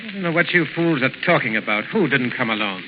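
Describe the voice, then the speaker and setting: An actor playing a ruthless, greedy gang boss in a theatrical 1930s radio style, sneering and commanding. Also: male voice, mid-range voice, animated, fast, close to an everyday voice. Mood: sneering, scornful. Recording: muffled, hissy, reverberant 1930s radio recording.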